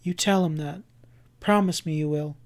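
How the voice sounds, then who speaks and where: A man's voice: on the high side, fairly even intonation, unhurried, natural voice. A man reading the part of a feverish young woman in labor, talking faintly and pleadingly. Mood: fervent, pleading. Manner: soft, pleading, breathy and faint.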